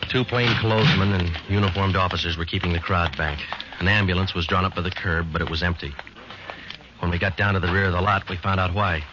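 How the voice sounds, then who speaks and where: An actor playing a stoic, businesslike police sergeant in a 1940s radio crime drama, talking in terse, matter-of-fact phrases. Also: male voice, deep voice, steady tone, brisk, background noise, lightly acted. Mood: grim, businesslike. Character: stoic, businesslike male police sergeant, narrating his cases. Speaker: detective and narrator. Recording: dull old radio recording, loud music.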